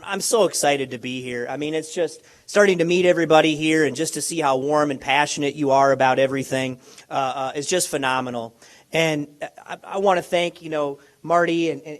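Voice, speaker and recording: Hoarse, eager Midwestern man at a microphone. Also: a little high, fairly bright tone. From Minneapolis, USA. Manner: eager, halting, many restarts. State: hoarse, lost voice. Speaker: college basketball coach. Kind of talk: press conference speech. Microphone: handheld or podium microphone.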